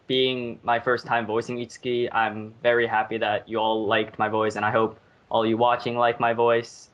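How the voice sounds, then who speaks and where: A man's voice: medium pitch, a bit bright. A man speaking casually and modestly as an amateur voice actor, hesitant, on a lo-fi mic. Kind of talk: casual thanks and reflection. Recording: dull lo-fi voice-chat mic.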